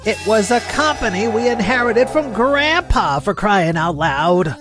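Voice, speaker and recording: Guy doing cocky defensive little brother type, manga dub, kinda high indignant voice w music under it. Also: expressive, unhurried. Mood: aggrieved. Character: arrogant, defensive younger brother, a sales manager. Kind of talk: dramatized manga story dub.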